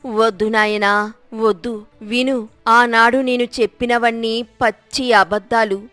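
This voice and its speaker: A woman's voice: a little high, fairly even intonation, slow pace, a bit bright, no room sound, soft background music. A woman voicing a remorseful, fretful older village aunt in a storybook tale, anxious and beseeching. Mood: contrite pleading. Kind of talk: storybook character dialogue.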